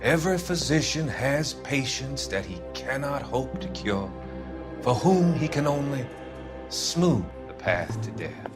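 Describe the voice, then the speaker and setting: A psychiatrist in a dramatic game scene, a man of quiet authority. He speaks formally and deliberately in a mid-pitched voice with very varied intonation. Music plays clearly behind him. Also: sing-song. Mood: measured, authoritative. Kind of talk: dramatic video game cutscene acting.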